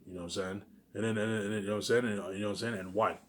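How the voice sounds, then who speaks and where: A man's voice: on the low side, steady tone, rapid-fire, a little hiss or hum. A man in a rambling informal monologue to viewers, boastful and circling back over the same phrases. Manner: boastful, self-aggrandizing.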